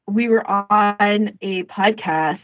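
A woman co-hosting a podcast in casual chat, often interrupting herself as she tells things in an animated way. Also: medium pitch, slow pace, no room sound, narrow, tinny audio, faint music behind. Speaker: podcast co-host.

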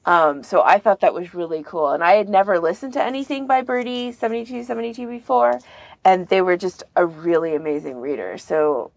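A woman with a slightly low voice chats as a podcast co-host. She offers recommendations in a casual, conversational style, and the sound is dry, without echo. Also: brisk, vocal fry, muffled recording. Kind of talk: casual chat, giving recommendations.

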